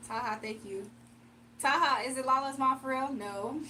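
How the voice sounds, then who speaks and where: A woman's voice: high voice, normal speed, pushed and bright, a little hiss or hum. Chatty woman hosting live card readings. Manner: chatty, reads out viewer comments, laughs often. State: slightly strained, recovering voice. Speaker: livestream card reader. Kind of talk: casual live-stream chat and readings.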